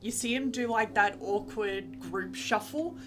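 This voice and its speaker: A woman casually narrating her character at a game table, feeling her way through the telling in a halting style. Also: medium pitch. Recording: music behind the voice.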